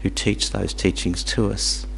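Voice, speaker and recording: A man born in Tanzania, teaching Buddhism to a small group in a halting, repetitive way, with questions for the audience. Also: lowish voice, level tone, gravelly, a bit bright, a little hiss or hum, low-quality recording. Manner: halting, repeats words, asks the audience questions. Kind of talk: dharma talk to a small group.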